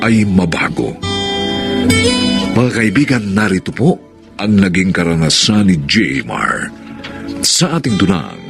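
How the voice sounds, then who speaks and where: Slow, very deep Filipino male narrator. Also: very animated, creaky. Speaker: narrator, host. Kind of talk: dramatic scripted radio narration. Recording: loud guitar music behind.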